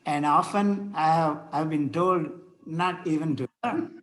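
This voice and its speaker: A man reading the part of a pious, pedantic, gentle clergyman in an amateur table read, formal and a little halting. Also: fairly high pitch. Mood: mildly pedantic.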